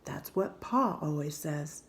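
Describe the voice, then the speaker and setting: A woman reading a children's picture book aloud in a gentle, hushed and expressive storytelling manner. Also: low voice, slow, slight background noise. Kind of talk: gentle scripted read-aloud narration.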